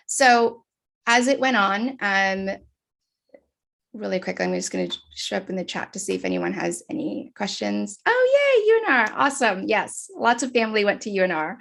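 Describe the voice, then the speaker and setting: A woman from the Philippines shares her personal story in an earnest talk given over a video call. Her voice sits at a middle pitch with a noticeable vocal fry running through it. She speaks with frequent fillers and restarts as she gathers her thoughts.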